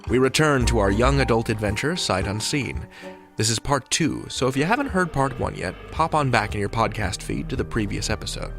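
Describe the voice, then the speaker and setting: A man's voice: medium pitch, animated, fairly quick, gravelly, a bit bright. A man hosting a drama podcast, introducing the episode in a wry, scripted way. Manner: wry, playful narration. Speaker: podcast host. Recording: studio voice over music bed.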